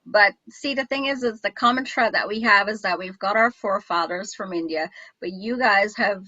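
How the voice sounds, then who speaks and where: A woman's voice: mid-range voice, moderate pace, pushed and bright, no echo, muffled recording. A woman from Guyana hosting an interview, chatting enthusiastically with many restarts. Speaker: show host. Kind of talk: casual interview chat.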